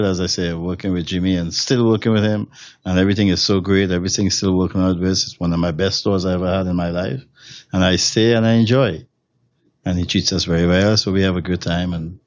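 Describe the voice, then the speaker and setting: A man's voice: low-pitched, steady tone, fairly quick, no echo, dull audio. A man from Trinidad and Tobago with a Trinidadian accent, a steel drum musician answering interview questions in a rambling way, with many fillers and restarts. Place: hotel room.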